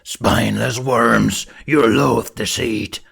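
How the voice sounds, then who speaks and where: A man voicing a gargantuan, wrathful female dragon demon in an audiobook, hoarse and roaring, declaiming in slow, drawn-out verse. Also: medium pitch, fairly even intonation, fairly bright tone, full character voice. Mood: wrathful, taunting.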